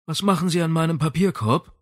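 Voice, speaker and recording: A man's voice: a little high, brisk, fairly bright tone, no room sound, pitched above the performer's own voice. A man reads as a big, weary music professor in a crime audiobook. He sounds irritable and defensive, and the recording is very dry. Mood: quiet suspicion. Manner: tired, irritable.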